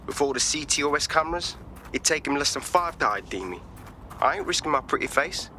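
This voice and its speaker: A man's voice: on the high side, expressive, a little fast, pushed and bright, a little hiss or hum. A man playing a cocky, streetwise young hacker with a London accent and slangy, informal talk in a video game. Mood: wary, cocky.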